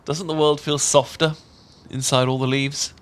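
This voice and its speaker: A British man playing a shy, tender roommate in a romantic ASMR audio roleplay, speaking in a gentle, halting, earnest way. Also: medium pitch, level tone, lightly acted. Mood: playful, wistful. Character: shy, tender male roommate confessing love.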